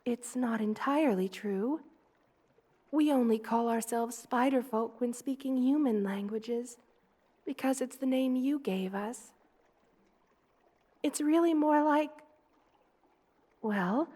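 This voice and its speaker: A woman voicing a gentle, doting spider queen in an intimate ASMR roleplay, soothing and tender in manner. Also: high-pitched. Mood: gently amused, explaining. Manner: soothing, tender, coaxing, with slow careful delivery.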